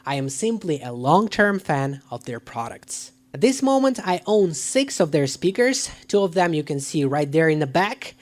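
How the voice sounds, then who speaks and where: A man presenting a scripted product review to camera in an emphatic, deliberate way. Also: a little high, very animated. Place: living room at home. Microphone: dedicated microphone.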